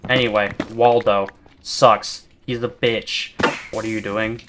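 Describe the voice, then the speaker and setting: A man giving rambling, monotone commentary over gameplay, full of fillers and restarts, as a gaming video creator. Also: lowish voice, takes it slowly. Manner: rambling, self-described yapper with many fillers and restarts. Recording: steady background noise.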